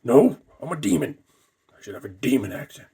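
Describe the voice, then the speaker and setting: Man voicing a demon samurai, gravelly, blunt, boastful, toy skit. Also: mid-range voice, big pitch swings, fairly quick, crowd noise. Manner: blunt, brushes off questions.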